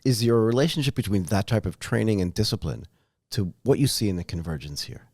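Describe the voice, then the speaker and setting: A man's voice: lowish voice, animated, fairly quick, darkish tone, dry recording, clean studio sound. A man hosting a corporate podcast interview, upbeat and prompting his guests with questions. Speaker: podcast host.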